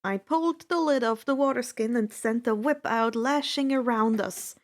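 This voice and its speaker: A woman reading a first-person adventure story aloud as an expressive, dramatic narrator. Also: fairly high pitch, moderate pace. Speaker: audiobook-style fan fiction reader.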